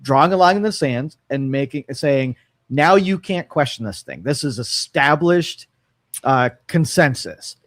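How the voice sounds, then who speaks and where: A man's voice: mid-range voice, expressive, a little slow, a bit bright, dry recording. A man co-hosting a talk show from a studio, musing aloud with frequent fillers and restarts. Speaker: radio talk show co-host. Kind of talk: casual opinion talk.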